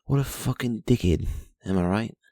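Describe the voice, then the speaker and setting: A man's voice: on the low side, normal speed, dark tone, no echo, studio quality, voice pushed up. A man voicing a mocking adult male New Zealand bloke in a put-on New Zealand accent, calling someone names.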